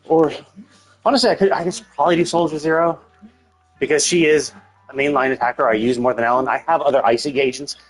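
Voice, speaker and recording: A man giving casual, unscripted gameplay commentary on a live stream, rambling and thinking out loud in a husky voice. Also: on the high side, quick pace, soft background music.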